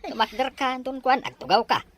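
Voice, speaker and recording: A woman playing an elderly rural husband, husky and reassuring, in an audio drama. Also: high voice, very animated, a little fast.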